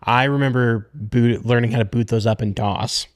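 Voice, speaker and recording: A man's voice: medium pitch, steady tone, moderate pace, dry recording, clean studio sound. A man in his mid-thirties, co-hosting a podcast, chatting casually and telling rambling stories full of fillers. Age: 30s. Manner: rambling, filler-heavy storytelling. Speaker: podcast co-host. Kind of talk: casual chat.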